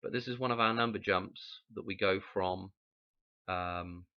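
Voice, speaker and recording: A man, croaky from a cold, rambling through a casual explanation and often checking in with "okay?". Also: low-pitched, measured pace, muffled recording. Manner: rambling, musing, frequent "okay?" check-ins. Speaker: channel host explaining number codes.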